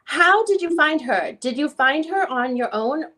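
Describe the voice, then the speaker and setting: A woman reading the part of a female lawyer who questions a witness in a deposition, in halting, rephrased questions. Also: sits high, animated, sharp tone, full character voice. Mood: probing. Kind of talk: dramatic reading of a legal deposition transcript.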